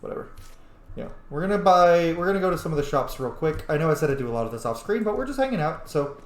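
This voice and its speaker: A man's voice: a little high, fast talker. Guy swearing and joking through a game playthrough, voice all over the place, echoey room. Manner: profane, animated, joking. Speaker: game streamer. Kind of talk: let's play commentary.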